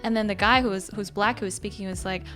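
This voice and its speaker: A woman from the United States co-hosting a casual chat, telling stories in an animated way with lively acted-out quotes. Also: fast. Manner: animated storyteller, acts out quotes with big pitch jumps. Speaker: co-host.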